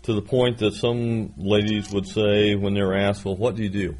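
A man's voice: on the low side, level tone, moderate pace, darkish tone. A man in his sixties preaching a sermon, reading scripture and adding folksy, self-deprecating asides. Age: 60s.

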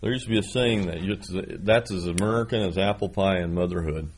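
A man's voice: low-pitched, a little fast. A man in his sixties preaching a sermon, reading scripture and adding folksy, self-deprecating asides. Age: 60s.

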